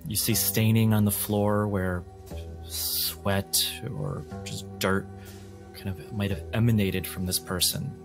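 Male game master, fairly low voice, deliberate descriptive narration for a tabletop role-play podcast, music underneath. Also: flat delivery.

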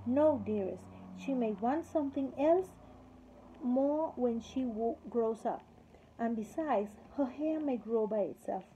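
A woman's voice: fairly high pitch, lively intonation, a little slow, warm tone, slight background noise. A woman voicing a firm, stubborn king who lectures the fairies, in a storybook reading. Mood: gentle. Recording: slightly muffled lo-fi recording.

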